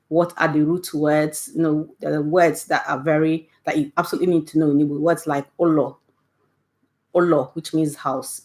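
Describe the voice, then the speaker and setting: Nigerian woman, middle-aged, low voice, patient teacher tone, keeps checking in with "okay?". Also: darkish tone. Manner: friendly, patient, punctuates points with "okay?". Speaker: Igbo language teacher. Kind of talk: casual online language lesson.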